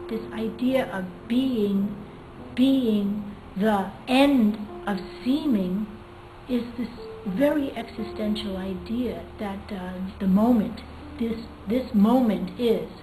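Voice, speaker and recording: A woman's voice: medium pitch, dark tone. Echoey woman teacher discussing literature. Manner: thoughtful, hesitant, pauses often to find words. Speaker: English teacher. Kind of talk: poetry reading and informal literary explanation. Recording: echoey room with music behind.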